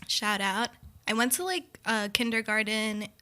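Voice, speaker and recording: A woman's voice: a little high, lively intonation, a little slow, crowd noise. A young adult woman from the Dominican Republic, a native Spanish speaker, talking casually and playfully as a podcast guest in slangy, informal speech. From born in the Dominican Republic, moved to the US as a small child. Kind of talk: casual personal conversation.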